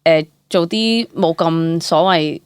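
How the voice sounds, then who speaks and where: Young hong kong cantonese woman chatting in an interview, lowish voice, dry sound, throws in english words, expressive. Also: takes it slowly, smooth, dry recording. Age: young adult. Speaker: actor, interview guest. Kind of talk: casual interview storytelling.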